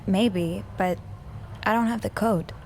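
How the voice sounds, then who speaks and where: A woman's voice: mid-range voice, takes it slowly, slight background noise. A woman playing an anxious young hotel maid in a video game, with a hesitant, earnest way of talking.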